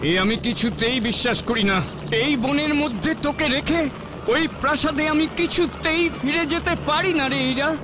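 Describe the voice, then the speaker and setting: High-voiced, emotional fatherly king. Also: moderate pace, background noise. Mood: distraught. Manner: pleading, theatrical. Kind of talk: cartoon voice acting. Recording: music behind the voice.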